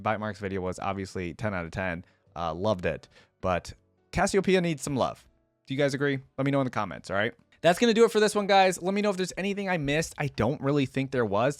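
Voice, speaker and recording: A man's voice: medium pitch, no echo. Casual man rambling through fan commentary, dry sound. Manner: rambling, conversational, thinking aloud. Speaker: YouTube reaction host.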